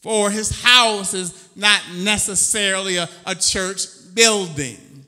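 A man's voice: high voice, slow, pushed and bright, long reverb tail. A man preaching a sermon as pastor, fervent and drawn out, calling out "oh Lord" between phrases. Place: church sanctuary.

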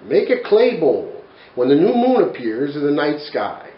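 A man doing a wise, calm forest owl. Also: a little high, unhurried, reverberant, dull audio. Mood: instructing.

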